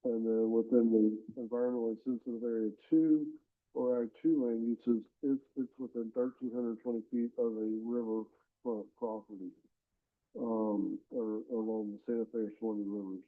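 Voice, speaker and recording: A man presents to a county board in a nasal, congested voice. His delivery stays flat and even as he reads legal text aloud. The audio is narrow and phone-like. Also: medium pitch, moderate pace. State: nasal from a head cold. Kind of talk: formal presentation at public meeting. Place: county commission meeting room.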